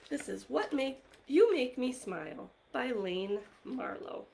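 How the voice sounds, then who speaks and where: A woman hosting a live online story time for young children, speaking directly to them in an encouraging, gently questioning way. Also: a little high, sing-song, slow pace, gravelly, a little hiss or hum. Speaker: library story time host.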